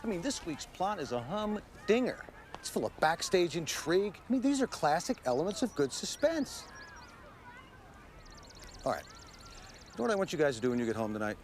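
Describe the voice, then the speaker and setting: A man's voice: fairly high pitch, sing-song, moderate pace, long pauses, slight background noise. An actor playing an eager, modest 1930s male schoolteacher in a television period drama, talking in boyish slang. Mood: enthusiastic, encouraging. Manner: slangy, boyish, self-deprecating.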